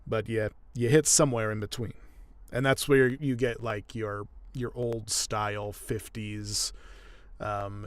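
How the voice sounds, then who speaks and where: A man's voice: mid-range voice, dry recording. A young man chatting casually and explaining his audio editing work as he goes. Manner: relaxed, chatty, laughs often, explains as he goes. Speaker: audiobook narrator and editor. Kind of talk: casual chat while editing.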